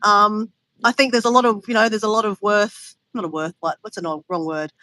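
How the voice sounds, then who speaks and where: A woman's voice: medium pitch, steady tone, brisk, sharp tone, dry recording. A woman chatting as a guest on a video call, a bit stuffy and sniffly, rambling with restarts. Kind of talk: casual chat on a video call.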